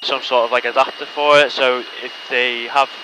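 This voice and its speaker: Male, mid pitch, casual in-flight chat, noisy small-plane cockpit headset audio. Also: flat delivery, normal speed, bright, forceful tone. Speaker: flying companion in a light aircraft. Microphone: aviation headset intercom. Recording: noisy light aircraft cockpit intercom. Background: engine hum and radio.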